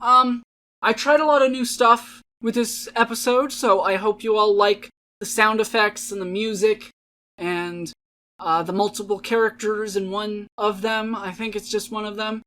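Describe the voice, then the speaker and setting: A woman's voice: medium pitch, steady tone, unhurried, fairly bright tone, slight background noise. A woman hosting a dramatic reading show, introducing it in a casual, chatty style.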